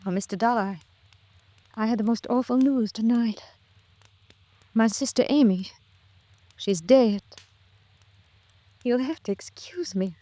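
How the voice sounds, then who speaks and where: An actress playing a fragile, bewildered widow in grief, speaking haltingly in 1950s radio melodrama style. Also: female voice, a little high, dark tone, no echo, lightly acted. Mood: grief-stricken. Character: grieving widow, fragile, trusting, bewildered woman.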